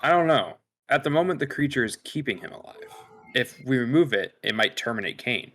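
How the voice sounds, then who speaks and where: A man playing a cautious, clinical spaceship science officer in a sci-fi script read, with technical, measured wording. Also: mid-range voice, very animated, fairly bright tone.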